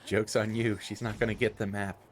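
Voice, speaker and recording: Very low-voiced teasing man. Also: flat delivery, fairly quick, slight background noise. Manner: teasing, laughs often. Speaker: co-host watching the stream. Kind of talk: casual commentary.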